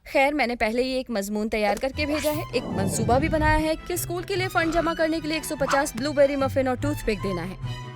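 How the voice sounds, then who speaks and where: Cartoon schoolgirl agent: high, very animated, boastful, competitive, music underneath. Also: a little fast. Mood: confident. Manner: boastful, emphatic. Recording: music behind the voice.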